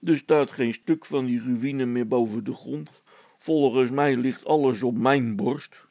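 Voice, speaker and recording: A man's voice: medium pitch, a little slow, darkish tone, dry recording, natural voice. A man voicing a plaintive village policeman in a story, forever grumbling and complaining about being neglected.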